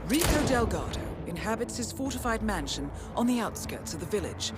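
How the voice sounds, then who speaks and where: Game handler actress: composed woman, formal briefing style, animated pitch, loud score underneath. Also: close to an everyday voice. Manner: measured, formal, coolly articulate. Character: composed female intelligence handler briefing an assassin. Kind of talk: scripted mission briefing narration. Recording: music loud behind the voice. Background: cinematic game music.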